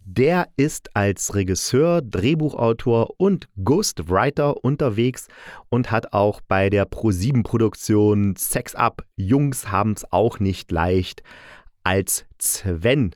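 A man's voice: lowish voice, animated, slow pace, a little mellow, dry recording, clean studio sound. A man hosting an informal review podcast, chatty and correcting himself as he goes. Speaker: podcast host and audio drama reviewer.

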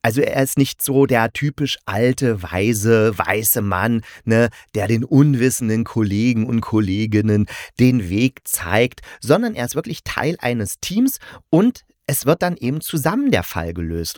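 A man with a medium-pitched voice hosts a solo review podcast. He talks in an informal, conversational way and gives his opinions directly to the listeners. The recording is dry, with very little room sound. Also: dry recording. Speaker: podcast host reviewing audio dramas.

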